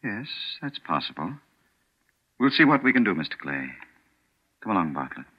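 A deep-voiced, sinister doctor. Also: male voice, big pitch swings, full character voice. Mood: coolly menacing. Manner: smooth, unhurried, quietly menacing. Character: calm, sinister male doctor. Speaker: doctor character. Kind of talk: 1940s radio acting. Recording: dull lo-fi old radio broadcast.

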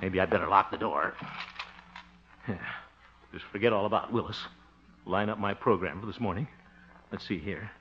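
A man's voice: on the low side, moderate pace, pauses often, natural voice. An American actor playing a genial but jittery, blustering middle-aged man in an old-time radio sitcom, with theatrical, flustered delivery. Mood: muttering, uneasy. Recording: muffled, noisy early 1950s radio recording.

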